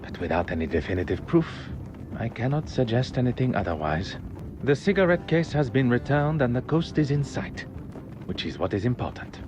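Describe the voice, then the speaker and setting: An actor playing a shrewd, courteous, self-assured detective with a Belgian French accent, speaking formally and precisely in a game. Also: male voice, medium pitch, sing-song, normal speed, over music, close to an everyday voice. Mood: brooding, deliberate. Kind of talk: scripted game dialogue and narration.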